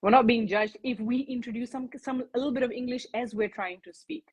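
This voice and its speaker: A woman's voice: a little high, brisk, slightly rough. A woman from Singapore talking in a casual interview, chatty, with plenty of fillers and restarts.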